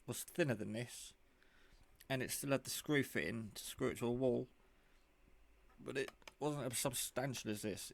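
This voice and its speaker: A man giving a casual, rambling, informal show-and-tell of a piece of hobby gear. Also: mid-range voice. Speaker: radio hobbyist showing a handset.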